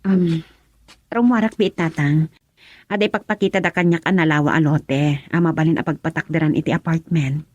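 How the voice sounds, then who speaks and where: Husky, low-voiced businesslike daughter. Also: female voice, steady tone, brisk, a little mellow, no room sound, muffled recording. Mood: respectful, concerned. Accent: Filipino, Ilocano. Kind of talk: Ilocano radio drama acting.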